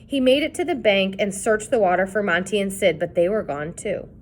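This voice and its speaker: A woman reading a children's chapter book aloud in an expressive storytelling style. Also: medium pitch, brisk. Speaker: reader.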